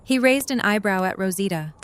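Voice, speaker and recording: A woman's voice: medium pitch, lively intonation, brisk, a little hiss or hum. A woman reading scripted third-person narration for an audio drama.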